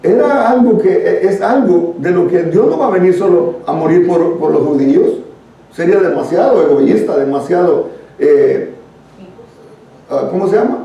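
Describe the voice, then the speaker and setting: A man's voice: high-pitched, expressive, normal speed, warm tone, highs cut off. A man with a Guatemalan accent leading a church Bible study, reading and explaining scripture in an earnest, conversational teaching manner. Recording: very echoey room, distant microphone.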